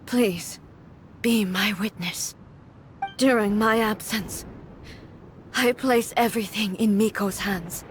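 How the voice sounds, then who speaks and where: A woman's voice: medium pitch, slow, long pauses, slight background noise, lightly acted. An actress playing a stern female thunder deity and warrior ruler in an anime game, grave, formal and resolute, with dramatic delivery. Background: game music.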